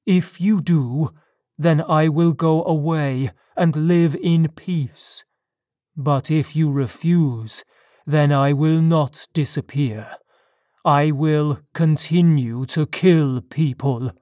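A narrator voicing a lonely, wronged male monster in an audiobook story, pleading yet menacing, with slow, deliberate delivery. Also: medium pitch, mellow, no room sound, clean studio sound, natural voice. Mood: threatening. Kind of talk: dramatised audiobook dialogue.

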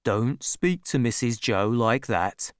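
A reader doing a quiet, gentle blacksmith. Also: medium pitch, slow, smooth, no echo, studio quality, close to an everyday voice. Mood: calm, quiet. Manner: quiet and calm.

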